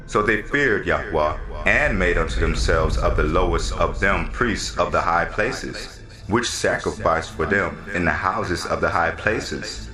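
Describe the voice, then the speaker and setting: A man's voice: deep voice, a little slow, echoey room. A man narrating scripture as a drama, in a solemn, declamatory manner.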